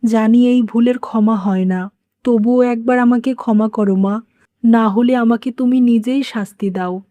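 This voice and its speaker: A woman's voice: fairly high pitch, fairly even intonation, unhurried, warm tone, no echo, lightly acted. A woman playing a remorseful, wounded elderly mother-in-law in a cartoon moral story, speaking in a plaintive, self-blaming way. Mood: remorseful, pleading.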